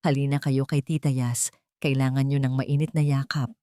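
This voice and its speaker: A woman voicing a devoted nanny in an audiobook drama, gentle and reassuring. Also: very deep voice, little pitch movement, normal speed, clear, resonant tone, no echo, clean studio sound. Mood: soothing. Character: devoted, soothing young nanny, female.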